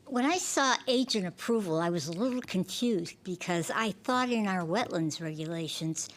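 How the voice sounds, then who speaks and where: A woman's voice: on the low side, lively intonation, moderate pace, rough recording. A woman board member asking questions and calling the roll at a town meeting, matter-of-fact. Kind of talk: meeting discussion and roll call.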